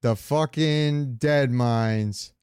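A man's voice: mid-range voice, very slow, a little mellow, clean tone. Man, podcast co-host, clean resonant voice, casual, slangy, profane, gaming talk.

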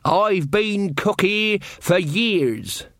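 A woman's voice: mid-range voice, animated, extremely slow, a bit bright, no room sound, studio quality, pitched above the performer's own voice. A man voicing an indignant, stubborn older female cook in a storybook reading.

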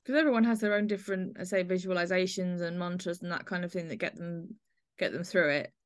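A woman's voice: on the low side, steady tone, fast talker, clean tone. A woman hosting a podcast, chatting conversationally as she reviews audio drama. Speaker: podcast host.

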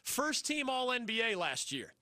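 A male sports radio host taking calls, talking fast and emphatic, pushing his opinions with rhetorical questions. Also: extremely high pitch, big pitch swings, bright, forceful tone, no room sound, clean studio sound. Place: radio studio. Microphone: studio mic.

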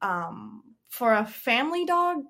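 Co-host, woman, a touch higher voice, sure and explanatory tone. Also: sing-song, takes it slowly, gravelly, sharp tone. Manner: confident, explanatory, laughs while talking. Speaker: podcast co-host and dog trainer. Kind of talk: casual advice chat.

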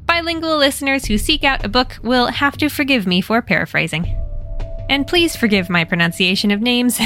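American woman story host, bright, animated, wry. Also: a little high, normal speed, faint music behind. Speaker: podcast host and narrator. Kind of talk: storytelling, retelling a manga.

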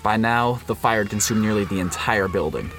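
An actor playing a somber, haunted survivor, narrating a scripted radio drama. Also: male voice, lowish voice, brisk, a bit bright, faint music behind. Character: somber, haunted male survivor recounting the siege.

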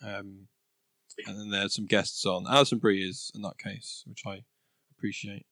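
Easygoing man with a low voice chatting. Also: little pitch movement, normal speed, fairly bright tone, no room sound. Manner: easygoing, laughs often between remarks. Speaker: podcast co-host. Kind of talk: casual chat.

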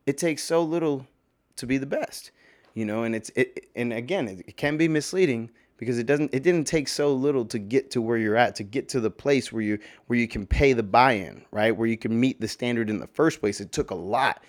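Slightly hoarse man ranting casually. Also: mid-range voice, fairly quick. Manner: emphatic, rambling, swears, many fillers. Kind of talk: casual opinion monologue.

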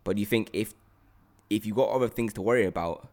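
A man, chatting casually as a podcast co-host and telling rambling, slangy, animated stories. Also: lowish voice, quick pace. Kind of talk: casual chat.

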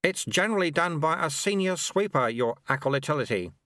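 Man reading as eager old sweeper monk, fawning, sly, high bright voice, lively. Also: no echo, clean studio sound. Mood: eagerly servile. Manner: obsequious, fawning, brisk. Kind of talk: audiobook character voice.

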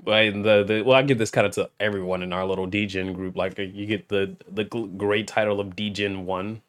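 A man's voice: on the low side, fairly even intonation, normal speed. A man hosting a podcast, chatting casually with a guest and laughing often. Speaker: podcast host.

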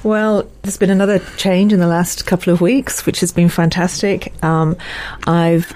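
A woman's voice: fairly low pitch, fairly even intonation, moderate pace, darkish tone. A middle-aged woman with an English accent, giving a conversational personal account as an interview guest. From born in Malaysia, lived in many countries.

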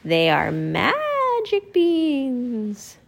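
A woman voicing a sly old woman peddler in a storybook reading, speaking slowly and coaxingly. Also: high voice, huge pitch swings, creaky, pitched above the performer's own voice, heavily acted. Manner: slow, coaxing and mysterious.

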